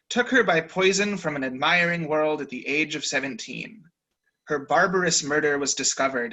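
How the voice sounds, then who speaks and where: A man narrating a dramatic reading over a video call with a measured, wry delivery. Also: a little high, bright, forceful tone, low-quality recording.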